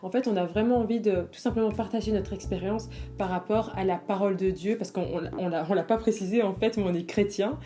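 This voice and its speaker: Mellow-voiced woman in her twenties. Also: medium pitch, steady tone, quick pace, hardly any pauses. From Belgium. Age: 20s. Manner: hesitant, many fillers and repeated words, laughs easily. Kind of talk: spontaneous casual chat.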